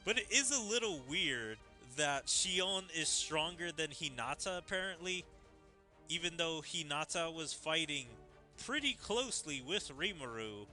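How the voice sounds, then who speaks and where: Bright, forceful-voiced male gaming streamer. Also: on the high side, lively intonation, measured pace. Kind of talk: casual game commentary, reading dialogue aloud. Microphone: close streaming microphone. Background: game music.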